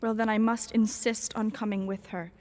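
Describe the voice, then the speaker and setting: An actress playing a gentle, protective young noblewoman in an audio drama, genteel and earnest. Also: mid-range voice, little pitch movement, moderate pace, big-room sound, lightly acted. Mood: anxious.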